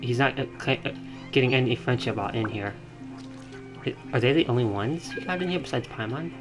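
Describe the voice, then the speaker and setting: A male game streamer talks casually through his play. His voice has an average pitch, and he rambles as he thinks out loud. Game music plays clearly behind him. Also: fairly quick. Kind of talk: casual live gameplay commentary. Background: video game music.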